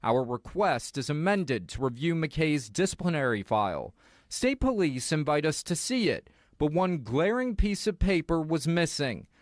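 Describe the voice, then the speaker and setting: Higher-pitched American male news reporter. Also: big pitch swings, moderate pace, dry recording, studio quality. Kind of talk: scripted investigative news narration.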